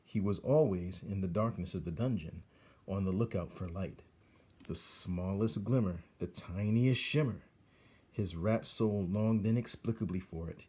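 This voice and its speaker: Low-pitched, husky man reading a story. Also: warm tone. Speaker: teacher reading aloud. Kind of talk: expressive storybook narration.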